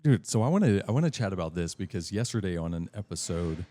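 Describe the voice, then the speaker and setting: A man in his thirties with a naturally deep voice, co-hosting a casual podcast and thinking back aloud with lots of fillers. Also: very animated, fast, warm tone. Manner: loose, reflective, filler-heavy talk. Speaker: podcast co-host. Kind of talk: casual chat.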